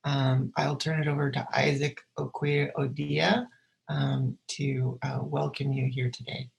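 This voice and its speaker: A speaker with a gravelly, creaky voice briefly opens an online seminar. The delivery is hesitant and full of "um" fillers, with the pitch in the middle range. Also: flat delivery, unhurried. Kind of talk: brief introduction. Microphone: video call.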